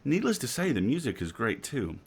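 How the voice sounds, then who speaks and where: A man's voice: mid-range voice, sing-song, fairly quick, a little mellow, husky. A British man with a wry, dry delivery, hosting a stream and reading a written review aloud with casual asides. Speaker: host of a livestream reading series.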